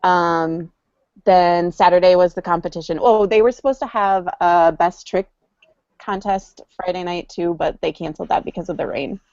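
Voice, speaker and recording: A woman's voice: fairly low pitch, normal speed, a little mellow, no room sound, lo-fi sound. A woman co-hosting a casual skateboarding chat, recounting events with frequent ums and restarts. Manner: rambling, many ums and restarts. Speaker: podcast co-host.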